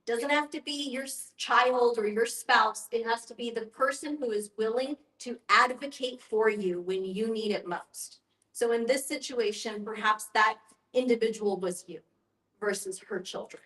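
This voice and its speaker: High-voiced woman advising in a roomy space. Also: moderate pace, fairly bright tone. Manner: instructive, spells things out step by step. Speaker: end-of-life educator and guest facilitator. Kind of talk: explanatory advice in a group discussion. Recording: roomy, echoing sound.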